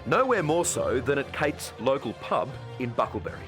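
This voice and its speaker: An Australian man narrates as a television reporter in a scripted documentary style. His mid-range voice moves with lively intonation over loud music. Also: medium pitch, normal speed. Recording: music bed behind voice.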